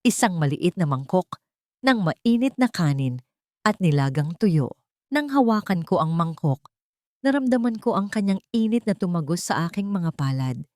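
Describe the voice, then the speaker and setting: A woman reading a scripted first-person sentimental story in a measured, dramatic storytelling manner. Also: lowish voice, lively intonation, darkish tone, no room sound, studio quality.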